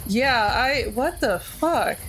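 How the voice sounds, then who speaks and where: A woman's voice: on the high side, expressive, slow pace, fairly bright tone, slight background noise. A woman playing a tabletop roleplaying game and chatting casually.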